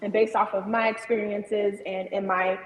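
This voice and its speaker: A woman's voice: medium pitch, little pitch movement, moderate pace, echoey room, long reverb tail, a little hiss or hum, poor audio. A young woman co-hosting a podcast, talking animatedly and enthusiastically, with many "like" fillers. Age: young adult. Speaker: podcast co-host. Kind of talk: casual podcast conversation.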